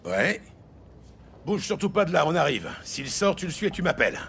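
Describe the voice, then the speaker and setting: A man playing a hard-boiled, cynical New York police lieutenant in a French audio crime drama, gruff, curt and sardonic. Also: on the high side, very animated, normal speed, fairly bright tone, slight background noise, full character voice. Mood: curt, irritated. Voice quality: gravelly. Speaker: voice actor in an audio series.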